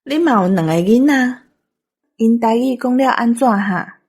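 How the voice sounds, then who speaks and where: High husky Taiwanese woman host. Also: animated, a little slow, a little mellow. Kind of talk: discussion.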